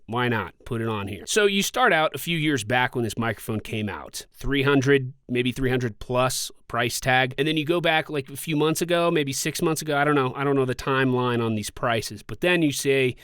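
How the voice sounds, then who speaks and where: A man's voice: mid-range voice, moderate pace, hardly any pauses, a bit bright, clean tone, no echo. A man hosting a casual microphone review, talking informally and conversationally, close to a studio condenser mic. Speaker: microphone reviewer and voice-over video host. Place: quiet indoor recording space. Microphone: close studio condenser microphone, about six inches away.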